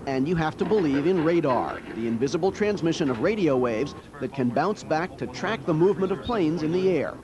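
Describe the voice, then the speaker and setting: An American man narrating a television news feature as a reporter, in a measured, wry storytelling style. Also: on the high side, animated, highs cut off, a crowd in the background.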